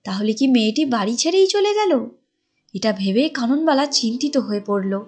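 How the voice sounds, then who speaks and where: Higher-pitched woman storyteller over music. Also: animated, normal speed, darkish tone. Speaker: story narrator. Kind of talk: scripted storybook narration for a cartoon.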